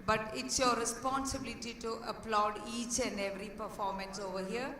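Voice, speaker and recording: Hoarse woman speaking in an echoing hall. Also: fairly high pitch, steady tone, fairly quick, bright, forceful tone. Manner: earnest, with fillers and restarts. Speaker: guest speaker, school head. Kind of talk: speech at an event. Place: large hall. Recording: echoey hall, distant mic, faint noise.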